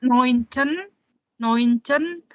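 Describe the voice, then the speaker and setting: A woman's voice: high-pitched, extremely slow, no room sound. A woman student, unsure and hesitant, working out answers one word at a time with restarts. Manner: hesitant, tries numbers word by word. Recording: dull, dry lo-fi audio.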